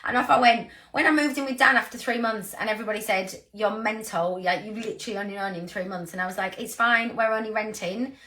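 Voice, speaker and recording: A woman in her thirties with a croaky voice, giving an earnest, rambling motivational talk to her team. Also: medium pitch, fairly even intonation, quick pace, few pauses, pushed and bright. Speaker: sales team leader.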